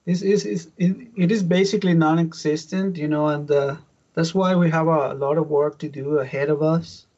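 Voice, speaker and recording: Mexican-accented man, halting and earnest. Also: a little high, fairly even intonation, darkish tone, lo-fi sound. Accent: Mexican Spanish. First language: Spanish. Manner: halting, many restarts and repeated words. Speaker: pastor, guest. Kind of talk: personal testimony in an interview.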